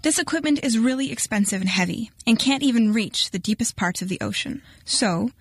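Female radio narrator, slightly high voice, explanatory, dry close sound. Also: animated, a little fast, dry recording. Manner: clear, explanatory. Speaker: radio reporter. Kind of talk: scripted narration.

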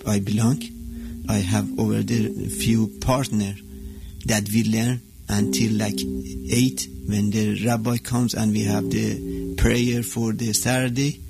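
Casting: middle-aged Iranian-accented man, low gravelly voice, halting English, music bed loud beneath. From Iran. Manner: halting, searching for words. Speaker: shop owner. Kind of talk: interview storytelling. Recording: music score under voice.

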